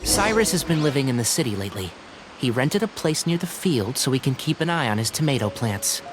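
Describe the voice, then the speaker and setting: A man voicing a calm, polite young male ranger-scholar in a video game, speaking in a measured way. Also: mid-range voice, heavy background noise, lightly acted. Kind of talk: game voice acting.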